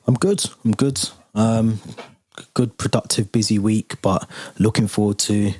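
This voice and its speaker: Low husky bloke hosting a footy podcast, close dry mic, loose chatty delivery. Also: male voice, measured pace, no echo. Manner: relaxed, lots of fillers and restarts, reads out channel plugs. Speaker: podcast host. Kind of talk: casual football podcast chat.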